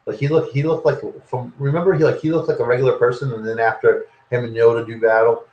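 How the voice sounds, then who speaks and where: A middle-aged man chatting casually on a podcast, quick with teasing jokes. His voice sits at an ordinary pitch for a man. The room gives the recording a noticeable echo. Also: flat delivery, lo-fi sound. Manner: joking, teasing. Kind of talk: casual banter. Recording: roomy echo.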